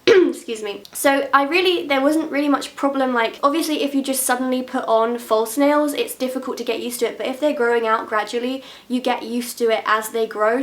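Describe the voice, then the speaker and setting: Woman, 20s, nasal from a cold, chatty, frank, laughs often, close camera mic. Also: fairly high pitch. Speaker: beauty vlogger. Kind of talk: casual talk to camera.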